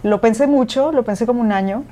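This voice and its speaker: A woman's voice: a little high, fast. A woman, a podcast host and former radio announcer, talking candidly as an interview guest. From Mexico. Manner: candid, animated, many fillers. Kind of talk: conversational interview.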